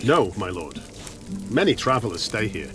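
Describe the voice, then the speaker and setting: Humble medieval innkeeper, music behind. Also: male voice, lowish voice, sing-song, moderate pace. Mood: helpful, explaining. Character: humble, pious, helpful male medieval innkeeper. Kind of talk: video game voice acting.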